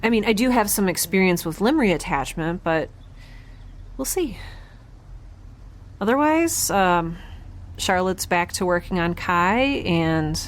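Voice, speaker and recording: A woman playing an injured, exhausted young scientist on a damaged spaceship in an audio drama, speaking haltingly with wry understatement and sighs. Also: fairly low pitch, animated. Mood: weary, halting. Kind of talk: audio drama, spoken log entries.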